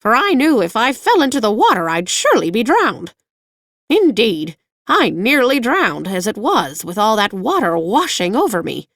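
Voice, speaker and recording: Woman doing a prim, chatty yellow hen. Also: on the high side, sing-song, moderate pace, dry recording, clean studio sound, voice pushed up, full character voice. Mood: prim chatter. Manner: prim, proper, fussy and confiding. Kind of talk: audiobook character voice.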